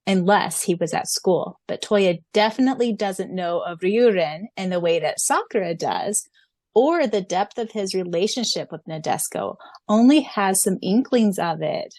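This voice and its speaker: A gushing, excitable woman co-hosts a fan podcast, laughing often as she reads the answers. Her voice sits at a middle pitch. It is recorded close and dry. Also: normal speed, no echo. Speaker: podcast co-host. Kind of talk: casual fan podcast chat.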